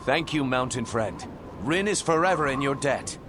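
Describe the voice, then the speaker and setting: Man as noble, expressive warrior prince. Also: medium pitch, normal speed, noisy background, natural voice. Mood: earnest, resolute. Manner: formal, heroic, commanding. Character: noble, earnest human warrior prince leading refugees. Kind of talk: video game cutscene acting.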